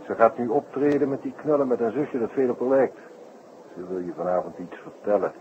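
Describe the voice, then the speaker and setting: A man's voice: mid-range voice, brisk, natural voice. A man playing a detective's eager, breezy companion, chatting colloquially in a radio drama. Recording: dull old radio recording, clear noise.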